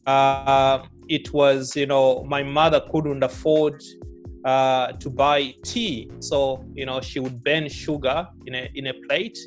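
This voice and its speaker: A middle-aged man from Zimbabwe speaks in a middle-pitched voice, telling his story slowly and reflectively. He pauses often with fillers and restarts his sentences. Faint music plays underneath. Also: flat delivery. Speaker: guest, author and businessman. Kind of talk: relaxed life-story interview.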